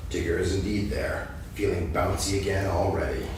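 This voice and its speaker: A man's voice: low voice, moderate pace, darkish tone, lightly acted. A man narrating a staged reading of a children's story, scripted storytelling delivered from a stage. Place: on stage before an audience. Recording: echoey, noisy hall recorded from far off.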